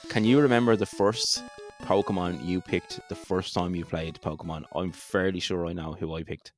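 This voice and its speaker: A man's voice: low-pitched, steady tone, moderate pace. A man co-hosting a gaming podcast in casual, easygoing banter. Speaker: podcast co-host. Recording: music clearly under the voice. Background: background music.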